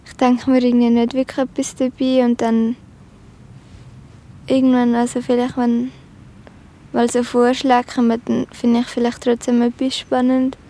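High, even-toned teenage girl. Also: moderate pace, pauses often. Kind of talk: casual interview reflection.

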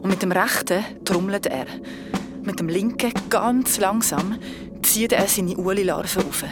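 A woman's voice: mid-range voice, measured pace, loud music behind the voice, close to an everyday voice. A woman playing a frightened young woman with a Swiss German accent, telling a nightmare in an audio drama with colloquial urgency. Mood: shaken storytelling. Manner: breathless, colloquial, urgent storytelling. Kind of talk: audio drama narration and acting.

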